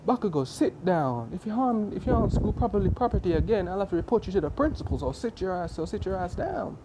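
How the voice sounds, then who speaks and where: A man's voice: high voice, sing-song, quick pace, very noisy, voice pushed up. A man voicing an exasperated schoolteacher who scolds unruly pupils, stern and insistent, in a told story. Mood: exasperated scolding. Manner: stern, insistent, repeats commands.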